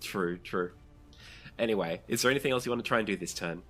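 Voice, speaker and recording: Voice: sits low, quick pace, fairly bright tone, faint music behind. A game master casually narrating and running a tabletop roleplaying game, playful and free with swearing.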